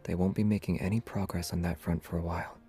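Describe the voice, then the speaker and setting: A calm, secretive young hunter from a story game, with a deep, mellow voice. He is reserved and nonchalant, taking his time over soft music. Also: fast talker. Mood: calm, guarded. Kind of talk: scripted game dialogue.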